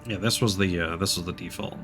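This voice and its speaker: Low-voiced man rambling on a game stream. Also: steady tone, quick pace, over music. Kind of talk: casual live game-stream commentary.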